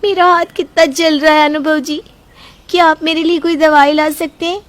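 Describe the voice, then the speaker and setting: A woman voicing a scheming, manipulative young wife in an animated story, talking in a theatrical, whining way. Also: high-pitched, normal speed, lightly acted. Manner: theatrical, whining, fake tearful. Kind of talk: cartoon storybook voice acting.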